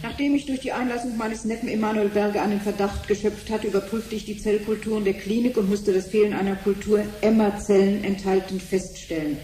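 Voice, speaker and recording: A woman's voice: medium pitch, steady tone, a little fast, few pauses, close to an everyday voice. An actress playing a woman at an official hearing in a 1970s radio play. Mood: formal. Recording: roomy, faintly noisy 1970s radio recording.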